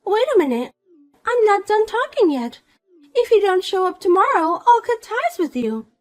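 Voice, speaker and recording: A woman's voice: very high-pitched, very animated, normal speed, darkish tone, soft background music, pitched above the performer's own voice. A woman voicing a vain, spiteful young woman who mocks and pushes others around. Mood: taunting. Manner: mocking, pushy, self-important.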